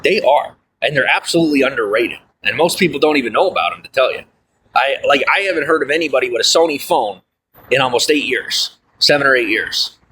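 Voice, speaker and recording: A man hosting a live stream, rambling through viewer comments with a chatty, folksy manner full of fillers and side remarks. His voice is bright and forceful, with a touch of faint background noise behind it. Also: mid-range voice, expressive, fairly quick, rough recording. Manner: folksy, often says "I'll tell you what". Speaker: livestreamer. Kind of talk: casual livestream chat reading viewer comments.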